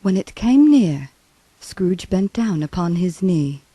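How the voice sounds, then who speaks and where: A woman narrating a ghostly radio drama in a solemn, measured, dramatic storytelling style. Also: lowish voice, big pitch swings, mellow, slightly rough, no room sound, full character voice, poor audio. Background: music.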